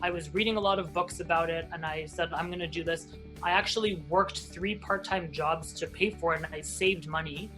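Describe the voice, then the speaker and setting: A man's voice: fairly high pitch, fairly even intonation, fairly quick, pushed and bright, soft background music. A Hebrew-man, born in Israel, telling his story as an airline captain guest in a casual interview, chatty with many restarts.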